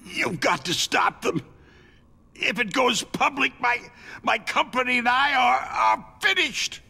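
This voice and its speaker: A man voicing a wounded, cynical arms company executive in a video game, with a creaky voice and a weary, condescending way of explaining things. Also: very high voice, animated, a little slow, sharp tone, exaggerated voice. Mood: panicked agony. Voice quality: creaky, gravelly.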